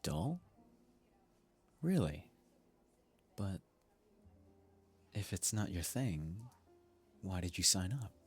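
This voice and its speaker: A man acting as a sweet, eager young guy on a meet-cute date in an intimate ASMR audio drama. His voice is very low and husky, and he rambles in a gentle, personable way. Soft music plays along with him. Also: very animated, very slow, long pauses, heavily acted. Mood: curious, playful. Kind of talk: ASMR roleplay, intimate scripted romance acting.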